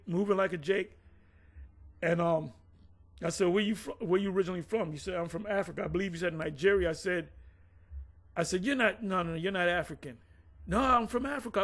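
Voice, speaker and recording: Brief: a man with a high voice, blunt and profane, rambling through old grievances in casual talk. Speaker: former group member recounting events. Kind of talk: casual conversation.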